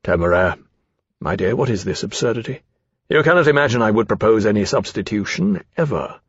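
A man's voice: on the low side, lively intonation, slightly rough, dry recording, highs cut off. A man playing a principled, humane officer who speaks formally and firmly in a period fantasy audiobook. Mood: placating, firm. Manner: formal, firm, measured.

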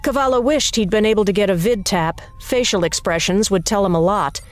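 A woman narrating an audiobook in an even, measured storytelling manner. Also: mid-range voice.

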